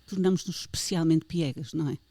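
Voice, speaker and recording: A woman's voice: sits low, a little fast, mellow, husky, no room sound. A woman with a European Portuguese accent hosting a health podcast, interviewing a guest with playful, theatrical questions. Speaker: podcast host.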